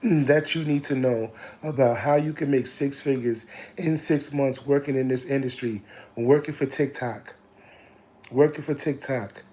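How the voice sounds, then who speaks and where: A man coaching on digital marketing in a casual, preachy live stream. He, is slightly hoarse, repeats his lines and calls listeners family. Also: mid-range voice, warm tone.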